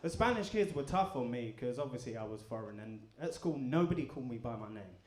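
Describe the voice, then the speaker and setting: A man doing a stand-up comedy set, deadpan and crude, bantering with the crowd. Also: medium pitch, quick pace. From England. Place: comedy club in front of a live audience. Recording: echoey club room. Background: audience laughter.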